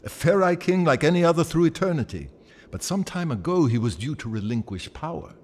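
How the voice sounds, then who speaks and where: A man's voice: on the high side, very animated, fairly quick, a little mellow, big-room sound. An actor playing an erudite, self-assured male guide in a video game, articulate and dryly pompous. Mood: pompous, musing. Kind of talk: video game cutscene acting.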